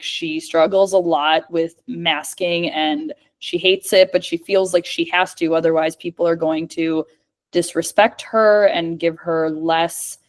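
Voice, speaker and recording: A woman who is a speech-language pathologist and communication coach, answering questions in a casual chat over a video call, with a lot of "like" in her speech. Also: on the low side. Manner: chatty, fillers of "like".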